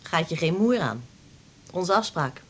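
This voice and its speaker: A woman's voice: fairly low pitch, expressive, a little hiss or hum. An actress playing a blunt, hostile young mother in a radio drama, curt and grudging in her delivery. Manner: curt, halting when reading aloud.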